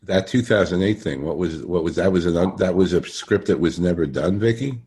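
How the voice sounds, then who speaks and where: A man hosting a casual podcast interview, talking haltingly and repeating phrases as he searches for words. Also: deep voice, darkish tone.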